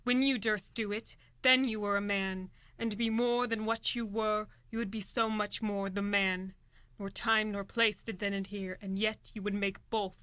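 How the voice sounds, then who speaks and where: A woman's voice: medium pitch, fairly even intonation, normal speed, sharp tone, smooth, dry recording, natural voice. A young woman acting a ruthless, ambitious noblewoman who goads in emphatic Shakespearean verse for a student radio play. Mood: fierce, goading. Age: young adult. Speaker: student actor.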